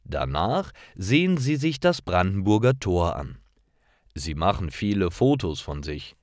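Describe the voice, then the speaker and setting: A man reads simple stories for language learners. His voice is fairly low and very slow, with lively intonation. Each sentence is carefully set apart. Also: dry recording, studio quality. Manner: careful, clearly separated sentences. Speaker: narrator. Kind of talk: scripted story narration for language learners.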